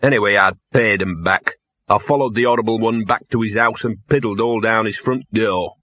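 A man voicing a cynical, maudlin little talking dog, hoarse and drunkenly slurred, in a comic fantasy audiobook. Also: fairly low pitch, moderate pace, fairly bright tone, no echo, lo-fi sound. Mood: gruff defiance. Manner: slurred, rambling, grumbling.

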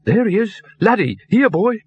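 A man's voice: mid-range voice, expressive, normal speed, fairly bright tone, husky, dry recording, dull audio. A man voicing an irritable, uneasy working animal trainer in a comic fantasy audiobook. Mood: relieved.

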